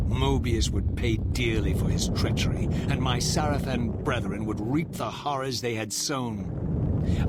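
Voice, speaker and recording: An actor playing a vengeful undead wraith, speaking in formal, grandiose, theatrical language in a dramatic video game. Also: male voice, fairly low pitch, very noisy. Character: Raziel, brooding vengeful undead male wraith, once vampire. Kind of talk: dramatic scripted game narration and dialogue.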